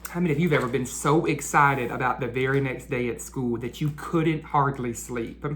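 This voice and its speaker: A woman reading a picture book aloud to children as a teacher, in a deliberate, engaging storytelling style. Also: a bass voice, echoey room, slight background noise. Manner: deliberate, engaging, asks listeners questions. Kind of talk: animated read-aloud storytelling.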